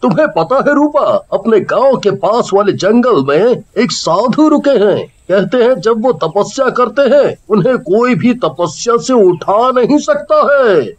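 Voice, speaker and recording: A man's voice: very high-pitched, sing-song, a little slow, hardly any pauses, exaggerated voice. A man voicing a sly young village suitor who talks in a chatty, coaxing way.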